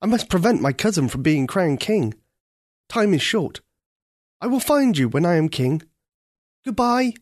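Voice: medium pitch, very animated, a little slow, pauses often, no echo, studio quality, voice pushed up. A storyteller voicing a young imprisoned prince who speaks formally and earnestly. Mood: urgent.

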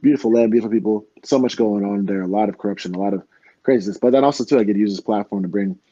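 A man's voice: on the low side, very fast, dark tone, no room sound, highs cut off. A young American man, a professional fighter, talking earnestly in a casual interview, rambling with many restarts. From United States.

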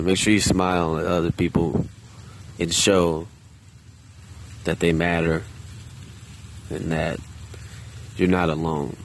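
A man with a very deep voice, speaking low and halting, as if worried someone might overhear. He rambles loosely and swears casually. He is recording outdoors, with faint traffic somewhere behind him. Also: rough recording. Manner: quiet, subdued, hesitant with many restarts. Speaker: vlogger. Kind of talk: casual solo monologue. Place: outdoors in a park.

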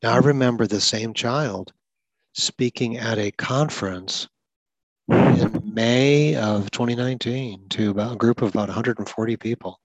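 A man's voice: medium pitch, moderate pace, breathy edge, no echo, muffled recording. A man who stutters, hosting a talk about school support, explaining things earnestly with occasional repeated words. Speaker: podcast host.

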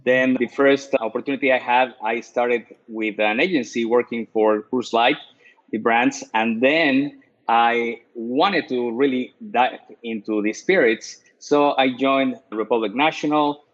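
A man's voice: mid-range voice, a little slow. A man in his forties speaking as a guest in a casual interview, plain and to the point. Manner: brief, matter-of-fact. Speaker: guest, spirits educator. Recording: dull lo-fi audio.

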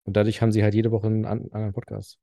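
A man's voice: on the low side, monotone, very fast, vocal fry, dark tone, dry recording, clean studio sound. A man co-hosting a casual podcast, rambling and full of fillers as he tells stories. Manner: rambling, filler-heavy storytelling. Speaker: podcast co-host. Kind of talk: casual chat.

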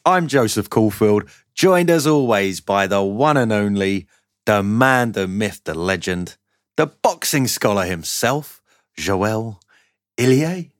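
A man hosting a casual boxing podcast, his voice hoarse from a sore throat and fading, joking at his own expense. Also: on the low side, animated, slow. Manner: self-mocking, joking. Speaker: podcast host.

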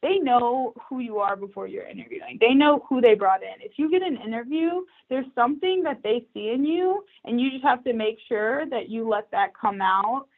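A woman's voice: fairly high pitch, lively intonation, normal speed, few pauses, breathy edge. A young woman, a recruiter, chatting casually and upbeat as a podcast guest, laughing often. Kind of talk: casual interview chat. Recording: tinny phone-like line.

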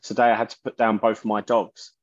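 A man, a musician guest in an interview, talking haltingly and often restarting his sentences. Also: lowish voice, little pitch movement. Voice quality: loud voice. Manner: halting, searching for words, many restarts. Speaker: musician guest, bassist and singer. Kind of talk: casual interview talk. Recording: dull lo-fi sound.

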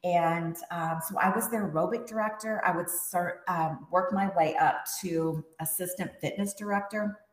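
Podcast guest: upbeat woman who grew up in Texas, lower voice, laughs a lot, roomy echo. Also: steady tone, normal speed, few pauses. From USA, Texas. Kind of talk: casual podcast interview.

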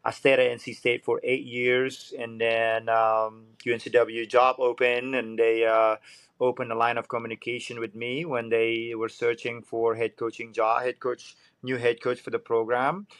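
A man's voice: medium pitch, completely flat delivery, normal speed, fairly bright tone, no room sound. A man in his thirties from Indonesia, speaking, is a swim coach guest telling his story earnestly and searching for words. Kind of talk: casual interview.

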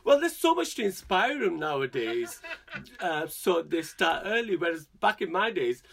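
A man's voice: high voice, very animated, creaky, a bit bright. A man in his thirties, a guest drag performer chatting casually with fillers and giggles. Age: 30s. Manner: giggly, self-deprecating, halting with fillers. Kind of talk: casual interview chat.

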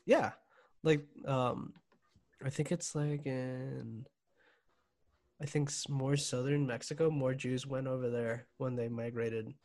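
A man's voice: mid-range voice, fairly even intonation, takes it slowly, a little mellow. A man co-hosting a podcast, chatting casually while watching an old game, laughing often and joking. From Germany. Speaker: podcast co-host. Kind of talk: casual chat.

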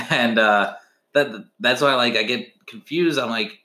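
A young man from the United States in casual chat as a podcast co-host, curious and questioning, quick to laugh. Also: medium pitch, sharp tone. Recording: roomy echo.

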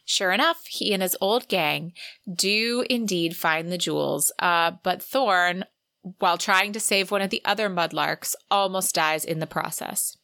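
Woman cohost chatting through a recap, bright voice, close dry recording no room sound. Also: lowish voice, measured pace, no room sound. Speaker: podcast co-host. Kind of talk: conversational story recap and show notes.

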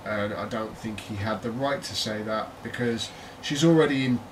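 A man's voice: on the low side, moderate pace. British man, chatty podcast co-host. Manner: chatty, jokey, trails into laughter. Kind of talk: casual chat. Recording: distant mic with faint noise.

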